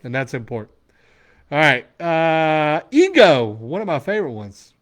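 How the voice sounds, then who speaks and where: A man's voice: a little high, very animated, a little slow, fairly bright tone. A man in his forties giving a blunt, emphatic motivational talk as a men's coach, swearing freely to a room. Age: 40s.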